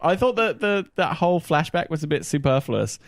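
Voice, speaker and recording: A man's voice: on the high side, expressive, a little fast, dry recording, studio quality. A man chatting casually as a podcast co-host. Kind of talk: casual chat.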